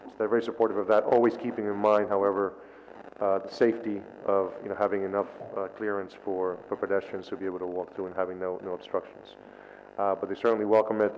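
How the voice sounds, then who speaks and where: Hesitant flat-voiced man, many fillers. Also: on the low side. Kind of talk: council meeting report. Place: council chamber. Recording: dull reverberant council chamber audio with faint noise.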